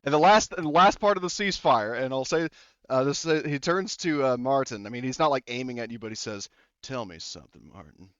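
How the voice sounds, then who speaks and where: A man's voice: medium pitch, expressive, fast talker, fairly bright tone, smooth, no echo. Game master: man, casual rambling narration, dull lo-fi dry sound. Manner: casual, rambling, many fillers and laughs.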